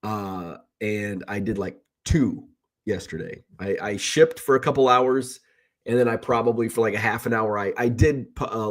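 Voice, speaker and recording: A middle-aged man who sells baseball cards, talking casually in a rambling, chatty way while sick with a cold. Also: on the low side. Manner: rambling, self-correcting, chatty. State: sick with a cold, slightly rough voice. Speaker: card seller and live streamer. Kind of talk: casual vlog chat.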